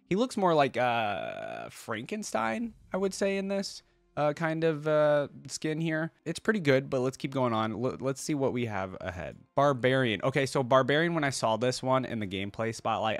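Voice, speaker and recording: A man's voice: mid-range voice, no echo. A man talks casually as the host of a reaction video, with a mid-range voice. His delivery is informal and slangy, speaking straight to his viewers like a fellow fan. The sound is dry, with very little room on it.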